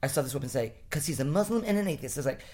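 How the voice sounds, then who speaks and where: A woman from Rhode Island, talking politics in a blunt, animated way and swearing freely. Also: sits low, rapid-fire. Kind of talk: casual political chat.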